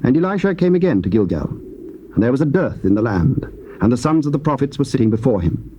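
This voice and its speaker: A man narrating scripture in a measured, stately way in a dull, lightly hissy old tape recording. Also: medium pitch, sing-song, mellow.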